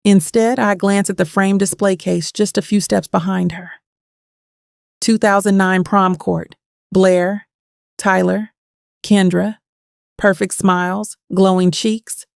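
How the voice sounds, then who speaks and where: A woman's voice: mid-range voice, steady tone, slow, vocal fry, darkish tone, no echo, clean studio sound. A woman playing a bitter, haunted woman in her thirties, telling her story in intense first-person dramatic narration. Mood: brooding. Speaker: narrator and lead of an audio drama.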